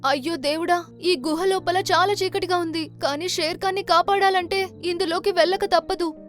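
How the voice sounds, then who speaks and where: Cartoon jackal: tiny high voice, selfless, earnest and emotional. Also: female voice, fairly even intonation, normal speed, soft background music. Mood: worried, pleading. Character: kind, innocent, self-sacrificing jackal in a children's fable. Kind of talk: animated children's story acting.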